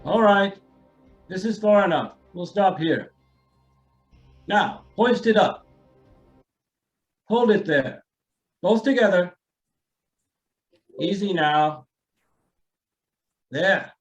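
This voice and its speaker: Far-off, high-voiced imperious patriarch, played by a man. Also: expressive, drawn-out words, long pauses, soft background music, full character voice. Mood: commanding. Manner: commanding, grandiose, declaiming. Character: imperious rich patriarch bargaining grandly with the heavens. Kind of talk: radio play acting. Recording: distant, dull lo-fi sound.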